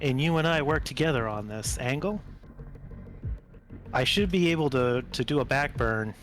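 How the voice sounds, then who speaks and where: A man voicing a seasoned, cocky combat pilot in a tabletop game, speaking deliberately and matter-of-factly. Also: medium pitch, animated, a bit bright, a little hiss or hum, close to an everyday voice. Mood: confident. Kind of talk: tabletop roleplay dialogue.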